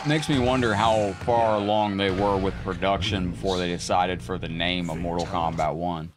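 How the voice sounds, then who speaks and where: A man hosting a live gaming stream, chatting loosely with viewers and rambling between thoughts, his throat going hoarse. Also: sits low, steady tone, normal speed. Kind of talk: casual live-stream chat while gaming. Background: loud game music.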